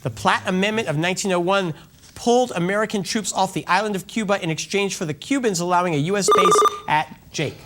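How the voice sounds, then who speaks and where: A quiz show host at mid pitch, reading each trivia question in an even, measured voice. Every question gets steady, clear delivery, with players called on by name and a quick verdict on each answer. A faint hiss of noise sits under the voice.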